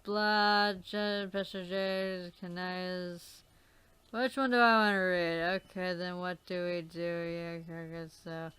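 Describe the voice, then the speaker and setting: A woman's voice: fairly low pitch, level tone, slow, fairly bright tone, clear, resonant tone, dry recording. A woman doing casual game commentary, rambling and swearing a lot. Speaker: let's player.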